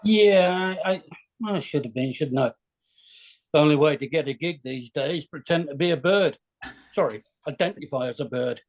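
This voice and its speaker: Man playing a husky, drunk, bitter comedian. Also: fairly high pitch, normal speed. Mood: drunken grumbling. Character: drunk, bitter, dishevelled late-forties male comedian. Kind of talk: screenplay read-through acting.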